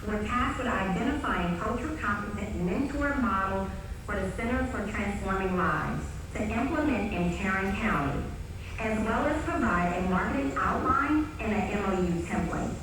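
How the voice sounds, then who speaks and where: Woman, remote presenter, steady reading, room echo, background noise. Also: medium pitch, moderate pace, distant mic. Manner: steady, reads with some slips. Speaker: team presenter. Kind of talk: reading a project summary. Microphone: remote call played over room speakers. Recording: call audio played into an echoey room.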